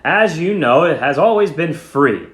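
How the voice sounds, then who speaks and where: Uneasy male school principal, read by a man; official, hesitant, lively intonation in an echoey room. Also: a little high, measured pace, pitched above the performer's own voice. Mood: awkward, formal. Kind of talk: dramatic short-story reading. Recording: roomy echo.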